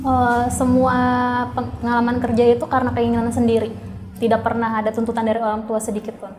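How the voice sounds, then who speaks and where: Young woman, slightly high voice. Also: completely flat delivery, quick pace, echoey room. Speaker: interviewee, worker. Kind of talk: polite casual interview answers.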